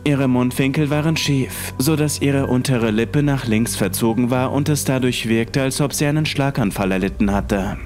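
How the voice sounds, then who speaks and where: Male horror narrator, measured scripted reading over quiet music. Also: medium pitch. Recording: faint music underneath.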